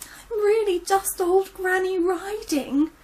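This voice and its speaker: A woman voicing a frantic old grandmother who pleads and wails in a high, tearful voice. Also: slow pace, darkish tone. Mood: pleading.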